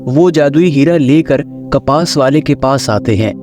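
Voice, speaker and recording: A man's voice: medium pitch, measured pace. A man reading scripted narration of a children's story as the storyteller. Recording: music under the voice.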